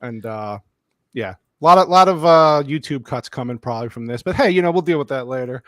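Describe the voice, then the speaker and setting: A man's voice: mid-range voice, animated, a little fast, no room sound, clean studio sound. A man hosting a casual movie livestream, loose and joking with many fillers. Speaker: livestream host.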